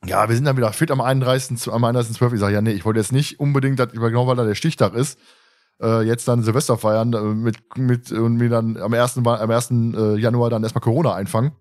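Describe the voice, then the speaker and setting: A man's voice: mid-range voice, steady tone, quick pace, darkish tone. Male podcast host, mid-range voice, loose rambling delivery with friendly banter and teasing. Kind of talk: casual podcast chat.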